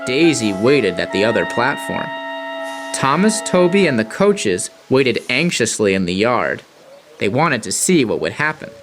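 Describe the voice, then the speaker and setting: A man reading scripted storybook narration in a measured, dramatic way. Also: mid-range voice, very animated. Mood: suspenseful. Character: storybook narrator of talking engine tales. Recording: music playing behind.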